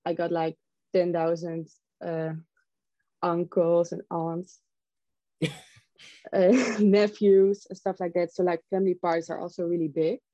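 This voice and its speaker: A young woman from the Netherlands talks casually. Her voice is mellow and a little low, in a dry but slightly dull recording. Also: little pitch movement, a little slow, pauses often, dry recording. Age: young adult. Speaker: interview guest. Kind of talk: casual chat.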